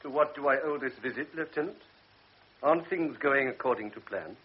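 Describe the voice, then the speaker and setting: An actor playing a blunt, principled doctor in a vintage radio drama, grave and reproachful. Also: male voice, mid-range voice, fairly quick, slightly rough, lo-fi sound, close to an everyday voice. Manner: grave, then sharply reproachful. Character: stern older male doctor, blunt and principled.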